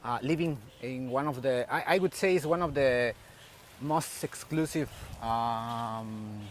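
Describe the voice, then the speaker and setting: A man's voice: mid-range voice, measured pace, slight background noise. A Spanish-speaking man hosting a casual walking interview outdoors, talking in a chatty way with many restarts. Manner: chatty, restarts and switches into English. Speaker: realtor and vlog host. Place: outdoors on a residential street.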